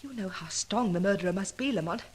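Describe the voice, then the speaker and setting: A woman's voice: mid-range voice, expressive, quick pace, slightly rough. An actress playing an anxious, loyal female companion to a sleuth in melodramatic 1940s radio acting. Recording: noisy vintage radio recording with clicks.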